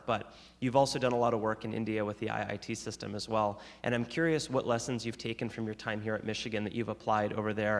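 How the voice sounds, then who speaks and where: A man's voice: lowish voice, creaky. A man co-hosting a lecture, asking the guest long, carefully framed questions. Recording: long hall reverb.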